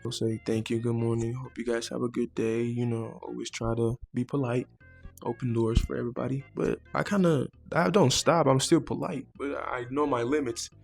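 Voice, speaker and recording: A man's voice: medium pitch, flat delivery, moderate pace, creaky, soft background music. A teenage boy from Mexico talking casually to camera as the host of his own vlog, chatty and laid-back, with frequent fillers and repeated words. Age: teens. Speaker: vlogger host.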